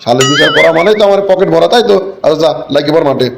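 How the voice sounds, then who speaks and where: A man's voice: fairly high pitch, very fast, vocal fry. A man voicing a crafty, greedy village boss in a comic cartoon, bossy, with a rural Bangladeshi Bengali accent. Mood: greedy, approving.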